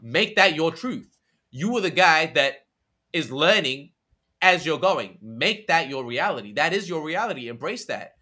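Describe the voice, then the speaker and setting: Young guy from australia, super bright tone, talks loose and slangy, goes off on tangents. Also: on the high side, unhurried. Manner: energetic, rambling, full of slang and tangents. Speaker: podcast guest. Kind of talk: casual conversation.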